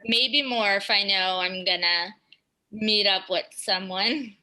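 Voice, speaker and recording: A woman of twenty-one giving matter-of-fact interview answers in a measured way. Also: medium pitch, pushed and bright.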